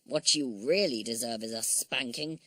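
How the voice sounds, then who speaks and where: A woman voicing a pompous village policeman in a storybook, stern and blustering but soft-hearted. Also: deep voice, animated, normal speed, no echo. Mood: stern, relenting. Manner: important, blustering.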